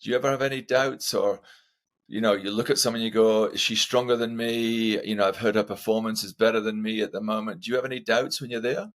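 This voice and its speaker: Scottish man hosting, low-pitched. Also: fairly even intonation, fast. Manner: chatty, self-deprecating, eager questions. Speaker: podcast host. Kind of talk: casual interview.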